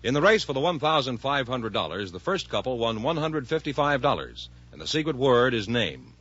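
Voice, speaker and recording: A man's voice: mid-range voice, big pitch swings, fairly quick, fairly bright tone, slightly rough. An American man announcing on a 1950s broadcast quiz show. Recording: muffled, noisy vintage broadcast recording.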